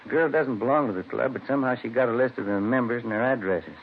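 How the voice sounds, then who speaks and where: An actor playing a courteous, matter-of-fact police detective sidekick in a vintage radio crime drama. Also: male voice, fast talker, close to an everyday voice. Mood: factual. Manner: matter-of-fact, polite, low-key. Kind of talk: 1940s-50s radio crime drama acting. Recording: thin, phone-like vintage radio recording, faint hiss.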